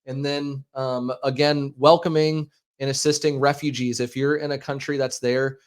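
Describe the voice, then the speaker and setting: An American man in his thirties hosting a livestream, making an earnest, halting appeal to viewers with many fillers and repeated words. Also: mid-range voice, little pitch movement. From United States. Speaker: livestream host. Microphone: speaking into a microphone.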